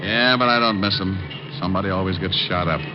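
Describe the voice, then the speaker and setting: An actor playing a wisecracking private detective who also narrates, in a 1950s radio drama. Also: male voice, sits low, quick pace, loud music behind the voice, lightly acted. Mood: terse. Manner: dry wisecracks, laconic. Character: wisecracking tough private detective, adult man. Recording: dull old radio recording.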